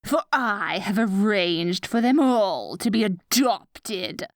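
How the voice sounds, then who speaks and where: A woman playing a dorky evil sorceress queen in an ASMR roleplay, petty but secretly soft-hearted, mixing mock-villain grandeur with awkward asides. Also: mid-range voice, animated, slow pace, no room sound, studio quality. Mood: gloating. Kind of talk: ASMR roleplay, addressing the listener directly.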